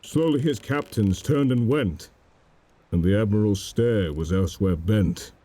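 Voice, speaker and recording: A man narrating a dramatic poem in a solemn, measured manner. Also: on the low side, warm tone, no echo. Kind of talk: dramatic poetry recitation.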